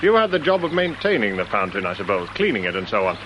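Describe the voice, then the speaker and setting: Gruff English chief inspector, middle-aged, officious, sarcastic, very varied pitch, radio drama, faint background noise. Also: male voice, sing-song, fast, lo-fi sound. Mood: stern, needling. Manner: officious, dry, needling. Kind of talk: British radio detective drama acting.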